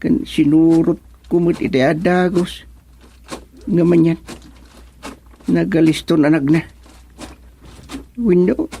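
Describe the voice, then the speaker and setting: A man with an Ilocano accent playing an excitable, bewildered country fellow in a radio comedy drama. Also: fairly high pitch, slow, gravelly, long pauses, a little hiss or hum. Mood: worried. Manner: exclamatory, theatrical.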